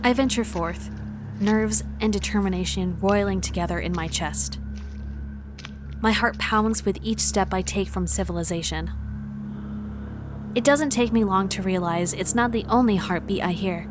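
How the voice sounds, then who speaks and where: A woman playing a wary, determined traveler, giving measured, introspective first-person narration in a visual novel fan dub. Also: medium pitch, close to an everyday voice. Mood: tense, determined. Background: music.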